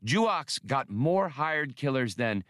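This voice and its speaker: A man's voice: medium pitch, big pitch swings, slow pace, a bit bright, dry recording, voice pushed up. Guy doing a cocky starship pilot in an audiobook, blunt, confident, voice jumps around, dry sound. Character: cocky, self-assured male starship pilot and gunslinger. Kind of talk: audiobook character voice.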